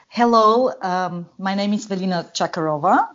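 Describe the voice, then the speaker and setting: A woman's voice: mid-range voice, moderate pace, fairly bright tone. A woman from Bulgaria with a Bulgarian accent, speaking as a guest analyst on a podcast and talking with many fillers and restarts. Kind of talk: podcast interview, explaining. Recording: dull lo-fi call audio.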